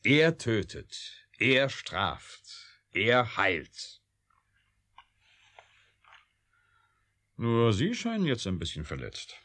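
Ein Schauspieler spielt in einem deutschen Hörspiel einen verbitterten, trotzigen Wissenschaftler mit sardonischer, eindringlicher Art. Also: male voice, fairly low pitch, wildly swooping pitch, slow, sharp tone, heavily acted. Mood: bitter, sardonic. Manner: sardonic, insistent, intense. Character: embittered, urgent, defiant male computer scientist. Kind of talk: German radio drama acting.

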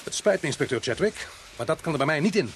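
A man's voice: fairly high pitch, lively intonation, fast talker, a little hiss or hum. A Dutch man playing a sceptical police sergeant in a radio drama, arguing in a sardonic way. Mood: incredulous, sarcastic. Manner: sardonic, argumentative.

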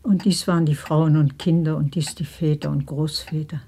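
A woman acting in a radio play as a solemn figure recalling the past in a deliberate, incantatory way. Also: low voice, steady tone, mellow, breathy edge. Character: solemn woman pointing back into a remembered past.

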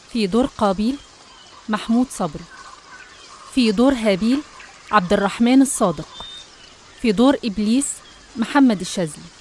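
A woman's voice: on the high side, slight background noise. A woman with an Egyptian accent reading scripted religious narration.

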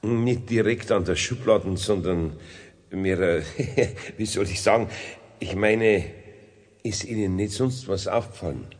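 An actor playing a good-natured, bashful middle-aged Bavarian master carpenter in a children's radio play, hesitant and plain-spoken. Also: male voice, fairly low pitch, measured pace, darkish tone, in a large hall, close to an everyday voice. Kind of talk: 1970s German children's radio play acting.

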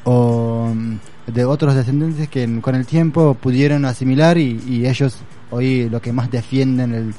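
A man's voice: medium pitch, steady tone, measured pace, hardly any pauses, warm tone. A man speaking in a casual conversation, hesitant and searching for words, with many fillers. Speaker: guest.

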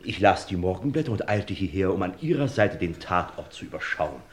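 An actor playing a pompous, money-minded lawyer in a vintage radio crime play, florid and obsequious. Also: male voice, low-pitched, sing-song, slight background noise. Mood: unctuous. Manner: obsequious, florid, halting then gushing.

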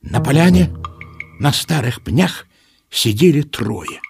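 Animated male storyteller over music. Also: medium pitch, slow, vocal fry. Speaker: narrator. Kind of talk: expressive storytelling for a children's audio play.

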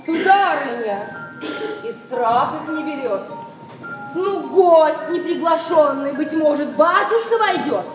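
Pert young maid in stage verse comedy: high, unhurried, exclamatory, echoey and distant. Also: female voice, lively intonation, over music. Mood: alarmed, urgent. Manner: theatrical, exclamatory delivery. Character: lively, pert young maidservant, sleepy and fretful. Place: theatre stage, live broadcast recording.

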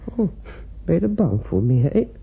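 Spiteful mocking middle-aged lady, husky, swooping pitch, thin tinny old radio sound. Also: big pitch swings, normal speed, full character voice. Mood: scornful. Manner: sneering, indignant. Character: haughty, spiteful 45-year-old stepdaughter, mocking. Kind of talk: Dutch radio play acting.

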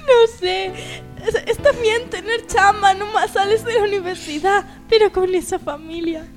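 Mujer interpretando a una joven de voz muy aguda, radionovela. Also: female voice, very high voice, moderate pace, big-room sound, soft background music. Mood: reluctant, unimpressed. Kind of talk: amateur radio soap opera acting.

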